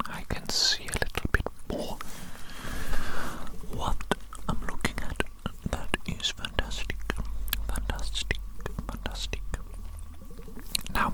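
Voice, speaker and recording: A man playing an eccentric evil doctor in a whispered ASMR roleplay, fussily repeating words to a tiny creature in his care. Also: close to an everyday voice. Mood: pleased, obsessive. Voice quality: breathy, fully unvoiced whisper. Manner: fussy, repeats words and phrases obsessively.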